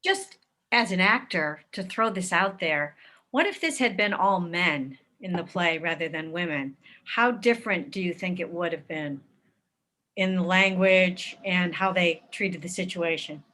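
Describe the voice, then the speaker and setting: A woman's voice: on the low side, expressive, measured pace, pushed and bright. A woman actor speaking casually in an online group discussion. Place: online video call.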